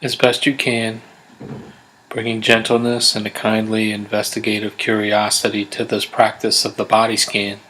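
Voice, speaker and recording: A man leads a guided body scan meditation in a low voice. His delivery is very even and steady, with little rise or fall. He gives each instruction gently and without hurry, leaving pauses between phrases. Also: a little hiss or hum, rough recording. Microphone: close USB condenser microphone.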